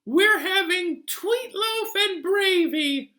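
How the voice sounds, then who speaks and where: A cheerful wife calling the family to dinner, high and sing-song as a male storyteller puts her on. Her words come out slow and bright. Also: expressive, full character voice.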